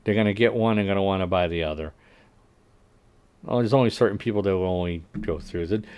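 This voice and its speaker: A man with a fairly low voice talks through game update notes in a loose, livestream way. He reads the notes, stumbles over numbers with fillers and restarts, then drops dry little asides that fade to a mutter. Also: fast, clean tone. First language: English. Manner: reads notes, then adds wry asides that trail off quietly; frequent fillers and restarts while working out numbers. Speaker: livestream host. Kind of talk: casual commentary reading game update notes aloud.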